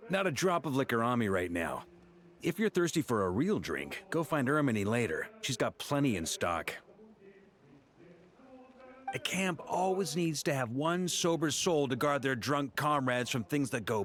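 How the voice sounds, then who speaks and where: A middle-aged knight commander from a video game. He speaks at a medium pitch that moves a great deal, jovial and wry but used to giving orders. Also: sing-song, a little slow, a bit bright.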